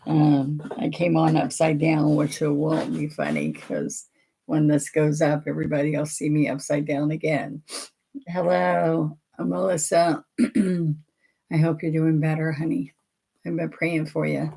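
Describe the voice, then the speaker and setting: An older woman leads a painting lesson in a casual, chatty way, greeting viewers by name as she works. Her voice is low and gravelly, with a husky rasp that stays present throughout. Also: steady tone, a little slow, warm tone. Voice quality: raspy. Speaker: painting teacher.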